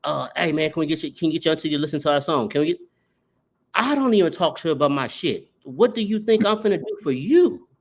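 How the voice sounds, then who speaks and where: A man co-hosting a podcast in casual chat, telling stories with a voice that jumps up on punchlines. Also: fast. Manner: animated storyteller, rising pitch on punchlines. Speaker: podcast co-host.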